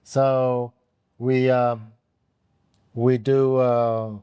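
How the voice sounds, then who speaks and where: A man, an OB/GYN guest telling his career story in halting, unhurried speech full of ums. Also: medium pitch, little pitch movement, mellow, clean tone, no room sound. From Mexico. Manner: halting, with frequent ums and restarts. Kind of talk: conversational interview answers.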